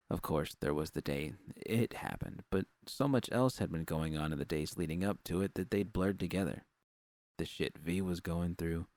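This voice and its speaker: A man narrates first-person fiction in a very low register. His voice has a gravelly creak, and the sound is close and dry. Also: a little mellow, no echo.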